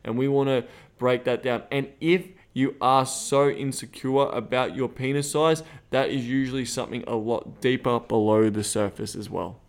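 A man's voice: mid-range voice, moderate pace, hardly any pauses, clear, resonant tone. An Australian man co-hosting a podcast in casual chat, blunt and swearing freely. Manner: blunt, profane and animated. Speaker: podcast co-host. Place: Thailand.

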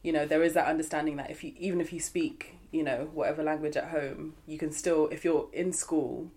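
A woman's voice: low-pitched, steady tone, a little fast, dark tone. A woman from Zimbabwe chatting casually on a podcast, self-mocking and often laughing as she talks. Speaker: podcast co-host. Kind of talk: casual group chat.

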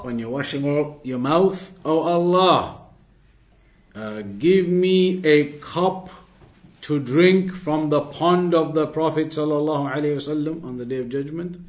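A man giving an Islamic lesson, reading out Arabic passages and then explaining them in English in a deliberate, repetitive way. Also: mid-range voice. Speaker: Islamic studies teacher reading and explaining a text.

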